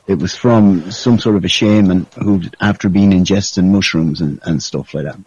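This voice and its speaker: Low-voiced sweary man, dull lo-fi audio. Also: moderate pace, a little mellow, breathy edge. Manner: sweary, conspiratorial storyteller. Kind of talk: casual chat.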